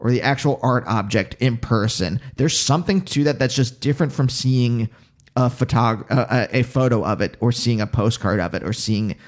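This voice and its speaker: A man with a Pennsylvania accent touched by New York, hosting a casual podcast conversation and thinking aloud in halting, self-interrupting phrases. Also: medium pitch. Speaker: podcast host.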